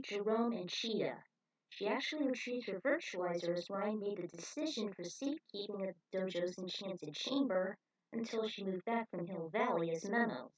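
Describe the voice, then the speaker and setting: A woman's voice: medium pitch, dull audio. Female amateur author-narrator, reading own fan fiction aloud.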